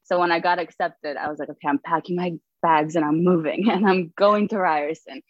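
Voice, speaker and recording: Low-voiced young woman, dull lo-fi audio. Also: fairly quick, no echo. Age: 20s. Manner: relaxed, with frequent fillers and light laughs. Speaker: author and podcast guest. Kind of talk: casual storytelling.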